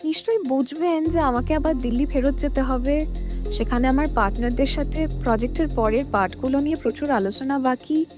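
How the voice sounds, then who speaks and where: A woman playing a calm, sweet-voiced businesswoman in an audio drama, courteous and quietly persuasive. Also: high voice, fairly quick, music in the background, lightly acted. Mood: sweetly persuasive. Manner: soft-spoken, courteous, persuasive.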